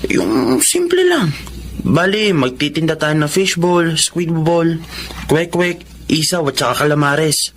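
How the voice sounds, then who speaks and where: A man's voice: fairly high pitch, very animated, takes it slowly, fairly bright tone, slight background noise, full character voice. A man playing a hopeful, earnest young working husband in a Filipino radio drama.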